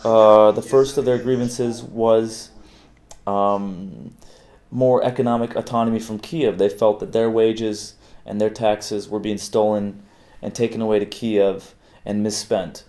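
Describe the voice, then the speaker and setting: A man's voice: on the low side, little pitch movement, takes it slowly, warm tone. A man giving a reflective documentary interview.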